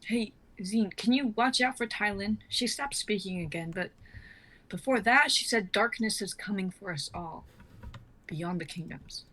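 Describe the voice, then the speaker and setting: A woman's voice: mid-range voice, unhurried, fairly bright tone, rough recording. A woman playing a protective, motherly hobbit in an amateur fantasy script reading, stern but caring and earnest. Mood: worried.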